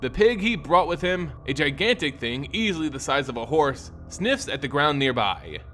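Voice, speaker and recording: A man narrating a scripted fantasy story and recap in a dramatic, expressive way. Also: fairly high pitch, normal speed, faint music behind. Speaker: narrator and host of a lore channel.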